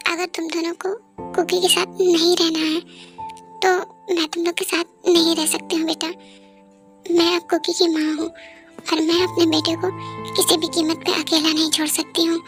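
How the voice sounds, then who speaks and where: A protective mother played by a story performer speaks with firm resolve in an audio drama. Her register is lowered from the performer's usual one, and music plays behind. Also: level tone, normal speed, long pauses, fairly bright tone. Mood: firm, resolute. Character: protective, firm, caring mother of grown children.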